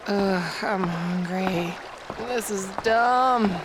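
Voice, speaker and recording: A woman's voice: medium pitch, drawn-out words, noisy background. A woman playing a stubborn, weary, sardonic adventuress in a radio drama, muttering with dry sarcasm. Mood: grumbling.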